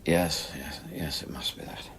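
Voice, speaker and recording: A man acting a troubled, weary scientist in intimate radio drama dialogue, terse and halting. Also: extremely low pitch, fairly even intonation, whispered. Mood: groggy, evasive. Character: troubled, weary male scientist, evasive with his lover. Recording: clearly audible background noise and ambience.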